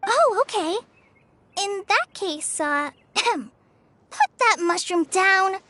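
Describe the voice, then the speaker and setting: A woman's voice: very high voice, expressive, extremely slow, bright, forceful tone, dry recording, exaggerated voice. A woman voicing a shy, earnest teenage trainee ranger girl in a video game, polite and hesitant. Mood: nervous, earnest. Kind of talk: video game character acting. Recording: music behind the voice.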